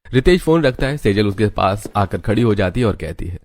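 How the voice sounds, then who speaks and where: A man narrates a scripted cartoon story. His voice is on the low side. Light music plays behind the narration. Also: lively intonation, brisk, darkish tone. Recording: music under the voice.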